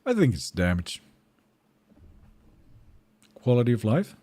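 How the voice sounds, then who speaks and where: A man's voice: mid-range voice, sing-song, slow, mellow, dry recording. A man with a Swedish accent, Finnish by first language, streaming a game and chatting casually, thinking aloud and answering his viewers. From Sweden. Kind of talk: casual live-stream chat while gaming.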